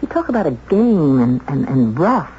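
An actress playing a prim, earnest young secretary in a 1970s radio drama, polite, hesitant and easily indignant. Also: female voice, low voice, sing-song, normal speed, dark tone. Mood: uneasy. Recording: muffled 1970s radio broadcast, some hiss.

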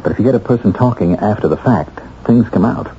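An American actor playing an earnest husband determined to clear his name in a 1970s radio drama, speaking deliberately with weighted pauses. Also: fairly low pitch, expressive, warm tone, lightly acted. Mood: intent, suspicious. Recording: muffled, noisy 1970s radio broadcast.

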